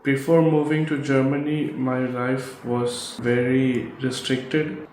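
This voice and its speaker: A man in his thirties with an Indian accent shares a personal account. His intonation stays quite flat at a middling pitch. The room is echoey, with some faint noise behind him. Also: takes it slowly. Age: 30s. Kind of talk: personal story told to camera.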